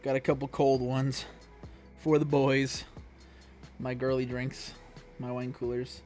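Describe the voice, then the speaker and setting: Hoarse young man, flat laid-back voice. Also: mid-range voice, slow pace. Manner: laid-back, chatty, talks to viewers. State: hoarse, scratchy voice. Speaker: live streamer. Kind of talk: casual live-stream chat. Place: room at home. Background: music playing.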